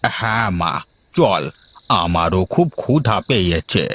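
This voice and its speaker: A man playing an aging, caring village father in an animated folktale, with a fatherly way of talking. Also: low voice, takes it slowly, heavily acted. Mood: fond.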